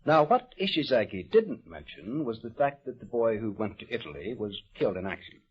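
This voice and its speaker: A man's voice: fairly low pitch, big pitch swings, fairly quick, breathy edge. A man hosting an old-time radio program, interviewing and narrating in a folksy, conversational manner. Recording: dull, muffled old radio recording.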